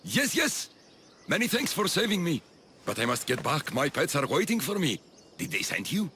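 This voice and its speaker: An eccentric, obsessive doctor from a video game, with a bright, forceful voice that swings widely in pitch. He is jittery and fussy, doubling back on his own words as he dotes. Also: huge pitch swings, moderate pace, a little hiss or hum, exaggerated voice. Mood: relieved, eager. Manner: jittery, fussy, repeats himself. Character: eccentric obsessive male doctor doting on his human pets. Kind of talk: video game character acting.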